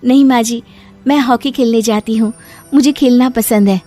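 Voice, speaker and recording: A woman's voice: fairly high pitch, fairly even intonation, normal speed, warm tone, soft background music, lightly acted. A woman playing an earnest, meek young village daughter in a moral story. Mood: hopeful, earnest. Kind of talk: Hindi moral-story acting.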